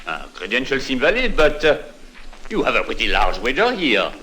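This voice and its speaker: An actor playing an officious, courteous French club manager in 1950s radio drama, with a French accent and formal, fussy, hesitant speech. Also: male voice, medium pitch, huge pitch swings, moderate pace, sharp tone. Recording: noisy old 1950s radio recording.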